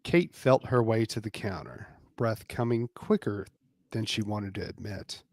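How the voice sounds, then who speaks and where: A man's voice: fairly low pitch, unhurried, darkish tone, no room sound, clean studio sound. A man narrating a romance story as a scripted dramatic reading.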